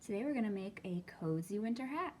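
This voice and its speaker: A woman hosting storytime for young children, reading and talking to them in simple, expressive phrases. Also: mid-range voice, fast, slight background noise. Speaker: children's library storytime host. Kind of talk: storytime read-aloud and singalong for young children.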